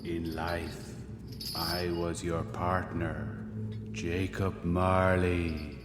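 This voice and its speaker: A man playing the ghost of a dead old businessman in a radio play, speaking in slow, grave, booming pronouncements. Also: flat delivery. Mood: solemn. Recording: echoey ghostly reverb with music.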